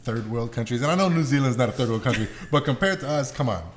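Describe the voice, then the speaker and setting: A man's voice: medium pitch, expressive, fast talker. A man hosting a podcast in casual, informal chat, with slangy talk and some swearing. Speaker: podcast host.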